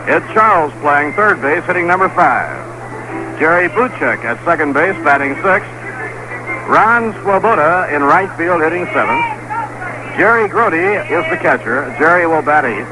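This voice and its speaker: A man announcing a baseball game on the radio, reading the lineups in a measured, even cadence. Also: on the high side. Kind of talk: play-by-play commentary. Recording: noisy 1960s radio broadcast recording.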